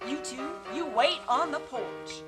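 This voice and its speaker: Woman as indignant frontier restaurant mother, very high voice, slow scolding delivery, amateur radio play, music behind. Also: lively intonation. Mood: exasperated. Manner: scolding, exasperated. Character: indignant Western restaurant owner and protective mother, Shirley. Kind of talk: amateur old-time radio play acting.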